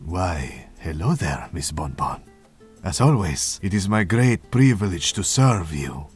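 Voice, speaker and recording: Give me a slow, theatrical charmer of a barista, deep and husky. He lingers on every gallant phrase with a lively, swooping delivery. Faint game music sits under him. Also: exaggerated voice.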